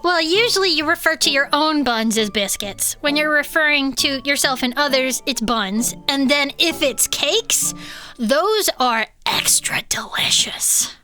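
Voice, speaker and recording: A woman playing an excitable, cheeky cryptid enthusiast who co-hosts a club show, chatty and gushing in a comedic audio fiction. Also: high voice, measured pace, soft background music, exaggerated voice. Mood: earnest explaining.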